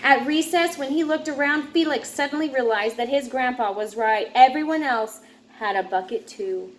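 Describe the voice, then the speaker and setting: A woman's voice: high voice, moderate pace, poor audio. A woman reading a children's picture book aloud as narrator, with some noise in the recording. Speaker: storybook reader.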